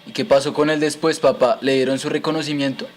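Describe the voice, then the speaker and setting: A man's voice: mid-range voice, steady tone, fast talker, a little hiss or hum, natural voice. A man playing a curious, respectful son who puts scripted questions to his father in an educational radio drama.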